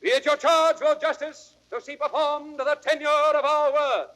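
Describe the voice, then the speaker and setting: Stern young king, high declaiming voice. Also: flat delivery, fairly bright tone. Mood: stern, commanding. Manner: measured, declamatory, formal. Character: newly crowned young king, stern and cold. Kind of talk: 1930s radio Shakespeare acting.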